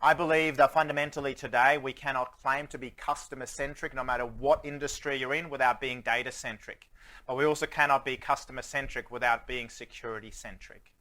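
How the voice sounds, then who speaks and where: A man's voice: medium pitch, steady tone, brisk, pushed and bright. Swedish-Australian man giving a joking keynote. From Sweden. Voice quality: joking, engages the audience with questions. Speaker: futurist keynote speaker. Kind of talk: conference keynote speech. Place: conference stage before a live audience.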